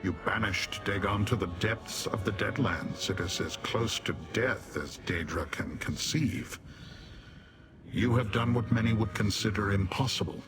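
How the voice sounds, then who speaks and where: Deep, proud immortal warrior played by a man. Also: a little slow, music in the background, close to an everyday voice. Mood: proud, solemn. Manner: formal, declamatory. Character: proud immortal male Daedra warrior, grave and stern. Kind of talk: scripted fantasy game acting.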